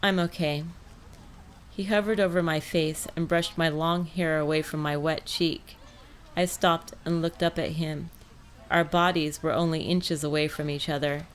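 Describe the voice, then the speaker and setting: A woman's voice: sits low, fairly even intonation, moderate pace, a little hiss or hum. A woman reading scripted first-person fiction as an audiobook narrator.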